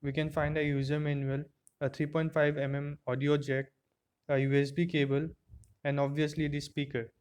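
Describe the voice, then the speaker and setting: A man's voice: fairly high pitch, little pitch movement, normal speed, darkish tone, slight background noise. A man narrating an unboxing and product review in a plain, step-by-step explaining way, recorded on an earphone microphone.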